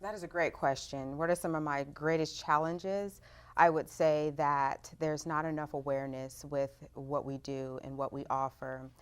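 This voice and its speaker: A woman giving measured, articulate explanations as a guest in a panel interview. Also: low voice, flat delivery, clean tone.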